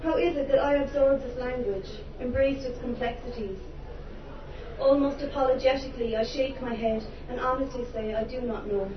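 A higher-voiced Irish woman performs spoken word poetry with theatrical passion and dry humorous asides. She sounds distant from the microphone in a roomy venue. The recording is dull and carries steady background noise. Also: moderate pace. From Ireland. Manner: impassioned, theatrical delivery with wry humorous asides. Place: live venue before an audience.

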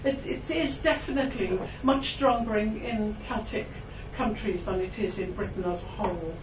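Welsh woman poet in a roomy hall. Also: mid-range voice. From Wales. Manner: chatty and self-mocking, with frequent ums and laughs; slow, lingering delivery when reading verse. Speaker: poet giving a reading. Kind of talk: poetry reading with chatty asides. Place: room with a live audience. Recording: distant, roomy, dull recording with background noise.

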